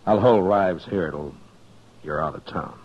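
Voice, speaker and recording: An actor playing a calm, authoritative, weary frontier marshal with an American accent, in 1950s radio western style on a muffled old recording. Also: male voice, sits low, a little slow, breathy edge. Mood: firm, gentle.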